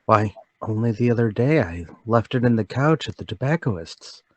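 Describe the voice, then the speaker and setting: Man playing a meek, haunted, low-voiced ex-salesman. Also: expressive, normal speed, darkish tone, no room sound. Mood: flustered, apologetic. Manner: polite, apologetic, self-pitying. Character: meek, forgetful, haunted former traveling salesman. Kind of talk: radio play table read.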